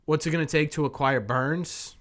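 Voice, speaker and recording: Hoarse man with a New England accent. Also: on the high side, fairly even intonation, moderate pace, a bit bright. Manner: rambling, chuckling, thinking aloud. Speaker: sports podcast host. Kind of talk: casual live-stream chat answering viewers.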